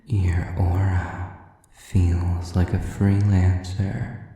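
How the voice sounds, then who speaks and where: Man playing a slow, low, creaky sadistic demon. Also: little pitch movement, mellow, very echoey, recorded from a distance, exaggerated voice. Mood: mock-friendly menace. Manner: slow, drawn-out, mockingly polite, breathy whispers. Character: sadistic male demon, predatory, taunting, silkily menacing. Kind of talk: intimate audio roleplay monologue to the listener.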